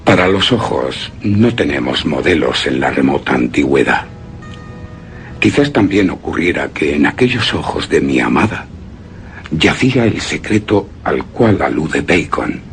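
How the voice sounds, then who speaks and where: A grave, lovestruck man narrates a tale of horror and obsession. He speaks very slowly in a deep voice, savoring each phrase with solemn, reverent weight and hushed emphases. Soft music plays behind him. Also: sing-song, heavily acted. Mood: solemn, fascinated. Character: grave, obsessed man rapturously recalling his beloved's eerie beauty. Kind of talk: dramatic radio theatre monologue.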